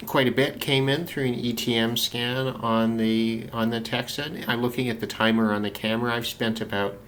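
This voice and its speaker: A man's voice: medium pitch, flat delivery, moderate pace. A man giving casual, unscripted commentary as a radio hobbyist, thinking aloud in a tentative way. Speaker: shortwave radio hobbyist narrating a video. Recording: loud shortwave radio static and noise. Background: shortwave radio reception.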